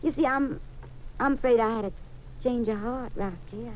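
An actress playing a scheming, sugary Southern femme fatale in a 1950s radio crime drama, coy and cooing with a Southern accent. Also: female voice, on the high side, normal speed, muffled recording, lightly acted. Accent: American Southern. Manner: coy, cooing sweet talk. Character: scheming honey-sweet Southern femme fatale plotting against her husbands. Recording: noisy old-time radio recording.

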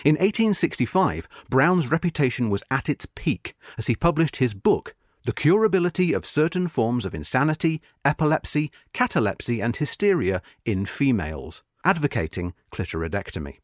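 A man reading a history article as scripted narration, in a measured, formal, carefully phrased way. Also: mid-range voice, very animated, no echo, studio quality.

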